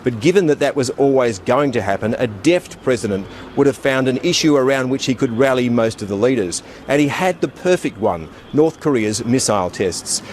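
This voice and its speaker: Grave, measured male political commentator. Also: medium pitch, a little mellow, slight background noise. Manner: grave, deliberate critical analysis. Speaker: political commentator in a radio clip.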